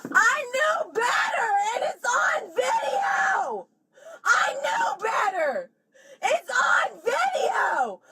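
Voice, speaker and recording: A young woman in her twenties, crying and pleading as she is detained, shouting through sobs and breaking into tearful laughs. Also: very high voice, background noise. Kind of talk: distressed pleading.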